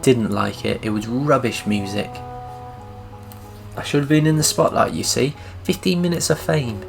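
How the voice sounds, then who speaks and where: A man commentating a video game playthrough, grumbling with wry asides. His mid-pitched voice has a gravelly fry. Quiet game music plays beneath him. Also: normal speed. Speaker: gaming commentator. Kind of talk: casual gameplay commentary.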